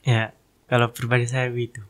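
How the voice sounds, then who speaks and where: A teenage high school student answering interview questions in hesitant, broken-up phrases. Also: male voice, monotone, a little fast, fairly bright tone. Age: teens. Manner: hesitant, speaks in short pieces. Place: studio.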